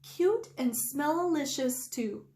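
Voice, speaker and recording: A woman's voice: high-pitched, animated, slow pace, dark tone, heavily acted. A woman voicing a cheerful, curious young male cat in a children's storybook, earnest and playful. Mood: delighted. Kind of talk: children's storybook read-aloud. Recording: roomy indoor echo.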